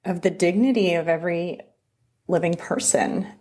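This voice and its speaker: A woman's voice: on the low side, moderate pace. A middle-aged woman from Southern California, an immigration attorney telling her story as an interview guest, with frequent restarts. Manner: warm storytelling with many restarts. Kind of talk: conversational interview.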